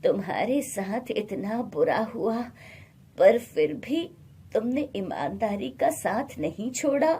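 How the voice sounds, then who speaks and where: A woman voicing a wise, kindly old village man in a moral storybook tale, calm and comforting. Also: mid-range voice, takes it slowly, slightly rough, heavily acted. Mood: reassuring.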